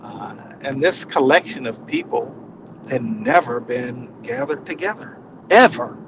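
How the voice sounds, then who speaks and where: Slow-paced man, reflective, noisy background. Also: medium pitch, animated, dull audio. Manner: reflective, halting delivery with repeated phrases. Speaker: spiritual session leader. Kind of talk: informal inspirational talk.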